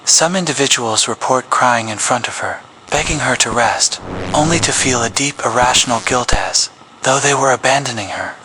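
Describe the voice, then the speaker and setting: A man reading a scripted public safety announcement as an emergency broadcast announcer, in a grave, measured official tone. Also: mid-range voice, background noise. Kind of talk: scripted horror narration.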